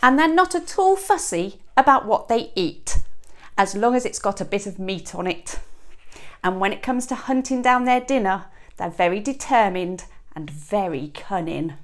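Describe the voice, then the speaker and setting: A woman in her thirties reading a children's picture book aloud like a teacher, with expressive emphasis and dramatic pauses. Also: mid-range voice. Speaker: teacher reading to a young class. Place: small classroom, indoors. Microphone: clip-on lapel mic.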